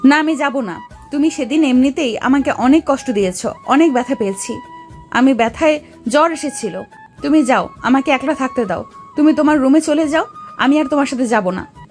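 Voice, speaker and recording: A woman's voice: sits high, animated, moderate pace, a little mellow, soft background music. A woman playing an affectionate, anxious young woman in an audio love story. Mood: upset, refusing.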